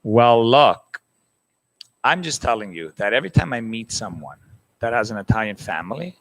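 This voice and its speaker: A man's voice: lowish voice, expressive, normal speed, a bit bright, no echo, rough recording. A young man from Canada hosting a casual talk show, bantering and defending himself in a playful way. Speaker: host. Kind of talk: casual chat.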